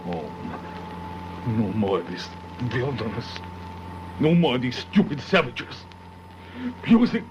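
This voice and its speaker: Greedy ruthless crook in a radio play, slow, pitch all over the place, noisy. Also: male voice, very animated, dull audio. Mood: gloating, exultant. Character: ruthless, greedy foreign male carjacker. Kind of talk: radio drama acting. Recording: noisy old radio drama recording.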